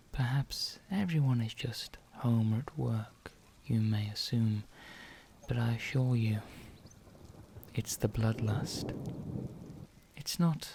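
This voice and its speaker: Guy doing old vampire asmr, soft whispery dark voice, flat and creepy calm, looks down on mortals, bit of background noise. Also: on the low side. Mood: quietly ominous. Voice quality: soft, breathy, often dropping into whisper. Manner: slow, deliberate, pausing, formal. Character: ancient male vampire, calm, menacing, condescending to mortals. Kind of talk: ASMR roleplay monologue.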